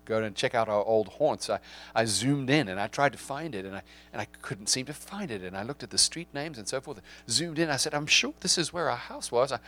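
A man in his forties with a South African accent, giving a reflective sermon with frequent restarts and pauses. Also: mid-range voice, fairly bright tone. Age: 40s.

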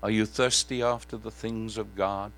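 A man preaching a sermon in church in a slightly croaky voice, asking earnest questions and singing parts of a hymn. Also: lowish voice, steady tone, moderate pace, a bit bright.

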